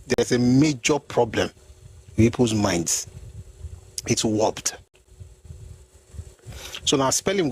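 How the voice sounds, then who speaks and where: Husky man giving mocking commentary. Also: medium pitch, darkish tone, rough recording. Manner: mocking, explanatory. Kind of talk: history commentary video.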